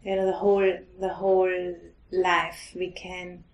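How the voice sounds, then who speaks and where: A middle-aged woman from Austria with an Austrian German accent, a Buddhist nun giving a gentle, halting dharma talk. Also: lowish voice, completely flat delivery, highs cut off. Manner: gentle, hesitant, halting with restarts.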